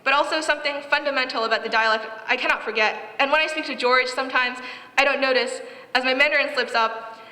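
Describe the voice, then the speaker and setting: A woman's voice: high-pitched, fast talker, sharp tone. A teenage girl reading her own prose aloud at a live reading, earnest and reflective with wry asides. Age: teens. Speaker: student writer reading her own story. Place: event hall. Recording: echoey hall with long reverb.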